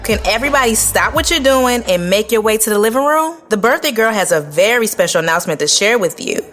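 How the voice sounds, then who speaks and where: A woman's voice: a little high, lively intonation, fast, fairly bright tone, faint music behind. A woman playing a caring, encouraging young best friend in an audio drama, speaking with affection. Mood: announcing. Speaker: supporting actress.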